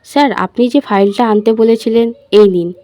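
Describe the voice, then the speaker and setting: A woman's voice: on the high side, normal speed, mellow, no echo, natural voice. A woman voicing a polite, dutiful young female secretary in an audio love story, meek and submissive.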